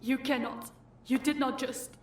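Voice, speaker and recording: A young woman playing a composed, dutiful and quietly protective princess in an audio roleplay drama. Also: sits high, steady tone, unhurried, background noise. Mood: distressed.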